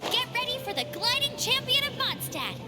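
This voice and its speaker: A woman's voice: very high voice, big pitch swings, normal speed, over loud music. A woman voicing an eager, brave young gliding scout girl in a video game, with an earnest, bubbly and emphatic delivery. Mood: excited, proud.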